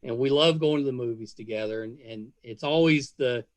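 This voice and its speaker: A man's voice: medium pitch, brisk, no echo. A middle-aged man chatting casually as an interviewer, effusive and often repeating words as he trails off mid-phrase. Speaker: podcast interviewer.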